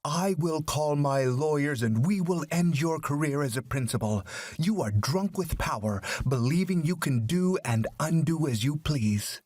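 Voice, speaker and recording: A man's voice: on the high side, lively intonation, unhurried, darkish tone, no room sound, pitched above the performer's own voice. A man voicing an arrogant, blustering father who rants indignantly in a story. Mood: indignant ranting. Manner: ranting, indignant.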